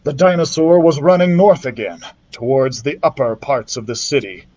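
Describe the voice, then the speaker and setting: A male librarian reading a children's adventure book aloud in a steady storytelling manner, with the odd slip. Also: mid-range voice, moderate pace. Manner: steady storytelling narration with small slips.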